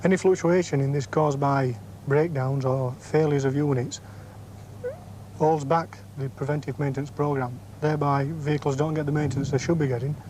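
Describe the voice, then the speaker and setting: A British engineer answers interview questions in a fairly high male voice. His delivery is measured, technical and matter-of-fact. The old film soundtrack carries a little noise. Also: dark tone, poor audio. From Yorkshire area, England. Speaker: depot engineer.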